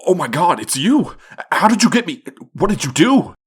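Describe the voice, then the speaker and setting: A man's voice: sits high, sing-song, normal speed, breathy edge, heavily acted. A man playing a frightened, pleading newspaper reporter who sounds rattled and out of his depth, in a comic dub. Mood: alarmed.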